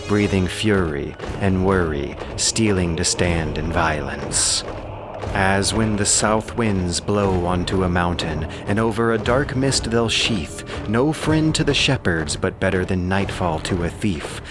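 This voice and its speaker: A man narrating an epic audiobook in a solemn, poetic, dramatic style. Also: sits low. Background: sound effects of outdoor ambience.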